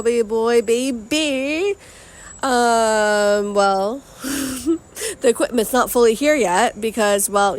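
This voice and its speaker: A young woman with a high voice talks through her own vlog in a bubbly, slangy way. Her voice sounds slightly strained as it recovers, and she swoops from excited squeals to low asides. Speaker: vlogger and podcast host. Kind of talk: casual upbeat vlog talk.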